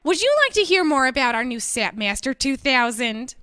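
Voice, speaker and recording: A woman's voice: high-pitched, big pitch swings, normal speed, pushed and bright, no room sound, clean studio sound, exaggerated voice. A woman voicing a perky, snappy saleswoman in a comedic audio drama.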